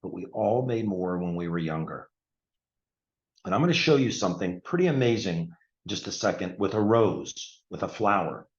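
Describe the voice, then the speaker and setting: A man in his sixties with a lowish voice, walking listeners through a health product step by step. He clears his throat often and repeats points in plain terms. The recording sounds dull and lo-fi. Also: lively intonation, moderate pace. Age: 60s. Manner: plain explanatory talk with frequent throat clearing. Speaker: retired surgeon presenting a health product. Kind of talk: explanatory product presentation.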